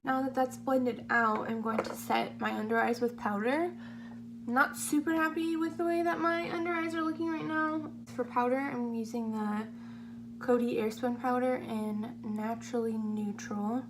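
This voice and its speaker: A 21-year-old woman with a slightly hoarse voice, chatting casually through a makeup tutorial in a rambling, self-deprecating way. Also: a little high, fairly even intonation, normal speed. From California, United States. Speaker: beauty vlogger. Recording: amateur home video, clear humming background noise.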